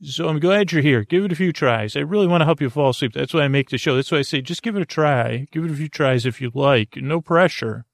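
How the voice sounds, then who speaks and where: A man hosting a bedtime podcast, telling a meandering, halting story full of restarts and fillers to help listeners fall asleep. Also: on the high side, creaky, no room sound, clean studio sound. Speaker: podcast host. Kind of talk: rambling bedtime story to put listeners to sleep.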